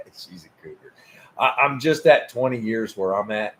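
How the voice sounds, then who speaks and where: A man's voice: fairly low pitch, moderate pace, a bit bright, a little hiss or hum. A man in his fifties hosting a casual live chat about cars, easygoing, often stammering and laughing as he talks. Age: 50s. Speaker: host.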